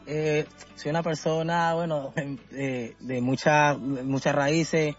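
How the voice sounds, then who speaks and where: A man from Venezuela talking casually as a guest in a radio interview, halting and often searching for his words. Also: on the high side, fairly even intonation, lo-fi sound, soft background music. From Caracas, Venezuela. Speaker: musician being interviewed.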